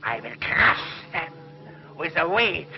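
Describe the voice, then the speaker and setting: An actor playing a vengeful, power-mad underworld ruler in a 1950s TV sci-fi serial, with a theatrical, ranting, obsessive delivery. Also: male voice, fairly high pitch, very animated, takes it slowly, vocal fry, slight background noise, heavily acted. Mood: menacing, vengeful. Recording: muffled 1950s TV soundtrack with music.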